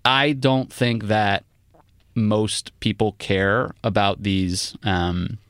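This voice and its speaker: A man's voice: lowish voice, steady tone, drawn-out words, a bit bright, no room sound. A man in casual podcast talk, chatty and filling his sentences with "like". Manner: chatty, says "like" a lot. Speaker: journalist guest.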